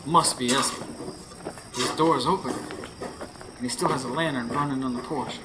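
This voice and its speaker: A man's voice: mid-range voice, moderate pace, lightly acted. A man playing a grieving, frightened narrator in a live radio horror drama, telling it dramatically in the first person. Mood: somber, uneasy. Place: studio before live audience. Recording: noisy live radio stage recording.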